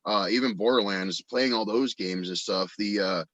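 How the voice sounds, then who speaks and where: A man from the United States with a deep, bright-edged voice talks casually as a guest. He rambles and often stops to find his words. The recording is very dry and close, without room sound. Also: no room sound, muffled recording. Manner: halting, rambling, frequent verbal hedging. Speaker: guest, audio drama actor and writer. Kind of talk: casual interview chat.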